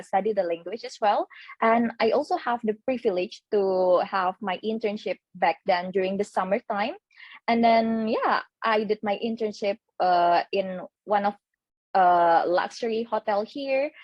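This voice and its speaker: A woman's voice: medium pitch, a little mellow, dry recording, muffled recording. A young woman from Indonesia, an international student, answering enthusiastically in a webinar, with phrasing. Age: young adult. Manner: enthusiastic, non-native phrasing. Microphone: video call.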